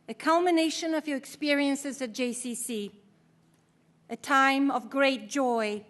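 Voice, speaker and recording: Woman, middle-aged, from Poland, high voice, formal podium speech, deliberate pacing. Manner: measured, deliberate delivery. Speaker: keynote speaker, professor.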